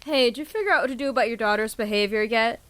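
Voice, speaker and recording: A woman's voice: a little high, rapid-fire, a bit bright, slight background noise, natural voice. An actress playing a nervous, chatty woman on a search team in an audio drama. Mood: anxious.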